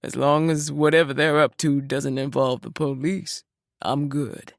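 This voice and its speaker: A woman voicing an easygoing man speaking in a low, relaxed way. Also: a little slow, no room sound, clean studio sound. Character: laid-back man, easygoing.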